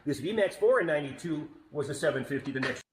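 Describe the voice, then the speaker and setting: A man's voice: on the high side, lively intonation, fast talker, a little hiss or hum. A man who collects old machines, eagerly explaining their details in a casual way. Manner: eager, knowledgeable, walks through details. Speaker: guest collector.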